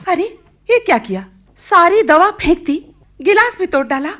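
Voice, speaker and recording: A woman's voice: extremely high pitch, very animated, normal speed, a bit bright, a little hiss or hum, full character voice. A woman acting an anxious, devoted mother in a radio play, coaxing and pleading with worry. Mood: distressed. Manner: coaxing, imploring.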